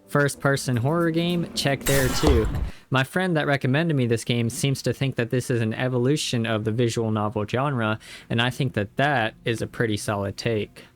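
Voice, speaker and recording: A man's voice: medium pitch, fairly even intonation, normal speed, a little hiss or hum. Man narrating a game recap. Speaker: video game reviewer. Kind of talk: casual scripted gameplay recap narration.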